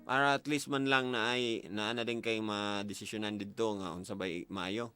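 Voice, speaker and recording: A man's voice: lowish voice, steady tone, moderate pace, sharp tone, clear, resonant tone. A man with a Filipino accent, a native Cebuano speaker, hosting a casual advice show, asking probing questions and giving advice. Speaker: radio host interviewing callers. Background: background music.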